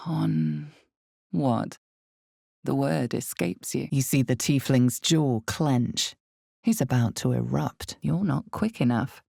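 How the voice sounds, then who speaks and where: A woman voicing an ominous, all-knowing narrator of a dark fantasy video game, speaking in slow, deliberate, dramatic storytelling with a creaky low voice. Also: no echo, clean studio sound, exaggerated voice. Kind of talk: scripted second-person dark fantasy narration.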